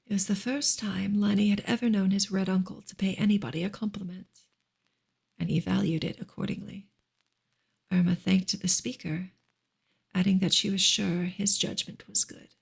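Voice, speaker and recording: A woman reads fiction aloud as soft ASMR narration. Her voice is breathy and husky, often drifting into a whisper, with a gravelly crackle at the edges. She keeps a gentle, measured delivery. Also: mid-range voice, fairly even intonation. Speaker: audiobook-style reader, ASMR host. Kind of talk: soft ASMR novel reading.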